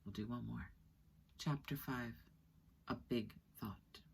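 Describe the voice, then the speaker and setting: A woman with a strikingly low voice reads a children's story aloud. Her delivery is expressive and deliberate, paced for young listeners. A faint noise sits behind her in the room. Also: gravelly. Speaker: storyteller reading a children's book. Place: small indoor room with skylight.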